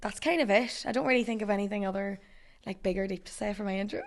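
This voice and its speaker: Northern irish girl in her 20s, bit croaky, chatty and laughing, studio podcast. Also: mid-range voice, fast. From Northern Ireland. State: hoarse. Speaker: guest. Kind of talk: casual chat.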